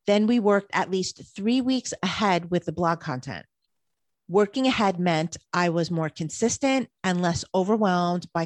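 A woman's voice: fairly low pitch, no room sound. A woman co-hosting a podcast who reads scripted points in an easy, upbeat way. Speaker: podcast co-host.

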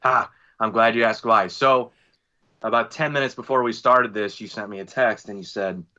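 A man co-hosting a podcast over a call, telling stories with animated, joking, mock-indignant banter. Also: on the low side, normal speed, lo-fi sound. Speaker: podcast co-host. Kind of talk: casual podcast chat. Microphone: remote call mic.

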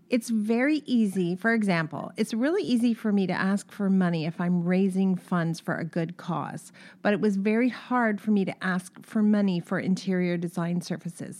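A woman hosts a conversational interview. She speaks reflectively and reassuringly, working her thoughts out aloud before she asks her guest a question. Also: mid-range voice. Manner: warm, reassuring, thinks aloud. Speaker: interview host.

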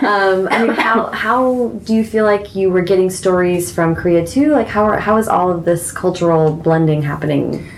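A woman's voice: fairly low pitch, normal speed, hardly any pauses, a little hiss or hum, poor audio. A woman hosting a podcast interview, asking casual questions and offering frequent affirmations. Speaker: podcast host.

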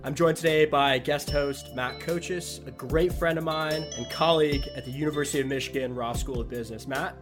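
A man's voice: fairly high pitch, steady tone, a little fast, hardly any pauses, over music. A young man hosting a business podcast, giving upbeat scripted introductions and asking questions. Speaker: podcast host.

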